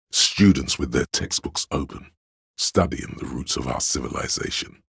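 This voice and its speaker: A man voicing a pompous, opinionated inner voice of artistic imagination in a video game, narrating theatrically and deliberately in a very deep, gravelly, husky voice. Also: no room sound, studio quality, full character voice. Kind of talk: dramatic second-person game narration.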